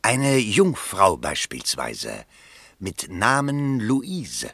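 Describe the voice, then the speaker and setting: An actor in a German radio play voicing a middle-aged, eager, self-important election candidate. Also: male voice, fairly low pitch, wildly swooping pitch, drawn-out words, a bit bright, breathy edge, no echo, heavily acted. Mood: flattering, intrigued.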